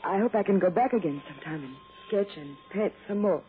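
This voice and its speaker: An actress playing a gentle, fragile grieving woman in a 1960s radio drama, with halting, polite delivery. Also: fairly low pitch, lively intonation, close to an everyday voice. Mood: subdued, hesitant. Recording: muffled vintage radio recording, faint music.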